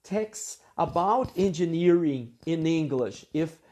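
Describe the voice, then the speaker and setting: A man giving a lecture, reading from his article and commenting on it, speaking slowly and deliberately with frequent fillers and checks like "okay?". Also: on the high side, lively intonation, mellow. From Brazil. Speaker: university English teacher.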